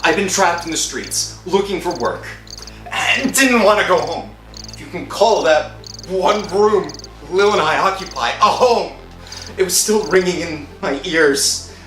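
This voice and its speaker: An actor playing a desperate, haunted unemployed husband in an emotive 1960s radio horror drama style. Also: male voice, high-pitched, expressive, a little slow, a bit bright. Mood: pleading, bitter. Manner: melodramatic, emotive, swinging from murmur to outcry. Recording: roomy old-time radio recording with music.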